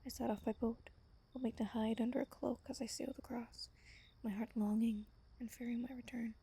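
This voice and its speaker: A woman's voice: on the high side, little pitch movement. Whispery, tender ancient vampire woman, acted by a woman. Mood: sorrowful, wistful. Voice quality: soft, breathy, whispery. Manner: gentle, slow, intimate storytelling. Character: ancient female vampire, tender, wistful, devoted lover. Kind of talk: soft-spoken ASMR roleplay monologue.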